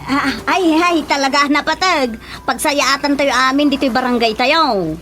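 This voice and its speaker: A woman acting as an excitable older neighbor in a radio comedy drama, exclaiming and hemming, with an Ilocano accent. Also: high voice, measured pace, a little hiss or hum. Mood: excited, chatty. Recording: radio drama with faint music behind.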